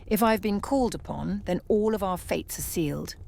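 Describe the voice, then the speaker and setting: A woman's voice: medium pitch, normal speed, creaky, a little mellow. A woman playing a solemn, knowing immortal lioness in a fantasy audio drama, speaking with portentous gravity. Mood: grave warning.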